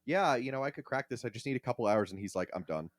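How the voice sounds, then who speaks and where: A man co-hosting a podcast, explaining things casually and haltingly as he thinks aloud. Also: mid-range voice, expressive, no echo, clean studio sound. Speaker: podcast co-host.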